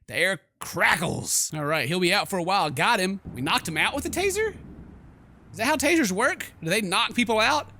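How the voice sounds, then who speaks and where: A man doing excitable, jokey let's play game commentary, exclaiming and mimicking the characters. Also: high-pitched, normal speed, slight background noise. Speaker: gaming YouTuber, let's play host.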